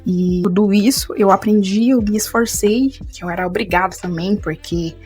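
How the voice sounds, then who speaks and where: A young woman speaking Portuguese with a foreign accent, telling stories casually as a vlogger and leaping up in pitch when she quotes herself. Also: moderate pace, slightly rough. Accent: foreign, learned Portuguese as adult. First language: not Portuguese. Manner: animated, jumps high when quoting herself. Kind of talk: casual storytelling. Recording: light music underneath.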